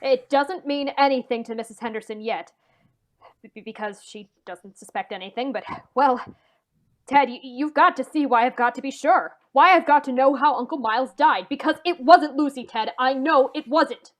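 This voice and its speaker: A woman playing an anxious, insistent man in old-time radio drama, halting and pleading as the character. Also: high voice, lightly acted. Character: anxious, insistent man defending his wife.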